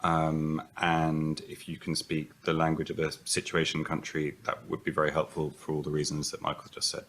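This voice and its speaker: A man's voice: extremely low pitch, completely flat delivery, moderate pace, a bit bright, slight background noise. A man, a barrister on a career panel, giving candid advice with hesitant restarts that settle into fluent explanation.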